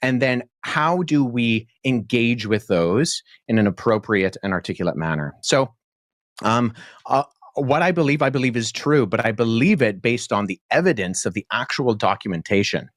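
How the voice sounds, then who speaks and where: A young man speaking as a debate guest, earnest and articulate, laying out his reasoning at a measured pace with frequent fillers. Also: medium pitch, animated, dry recording, studio quality. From born in Pakistan, childhood in Jordan. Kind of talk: podcast debate answer.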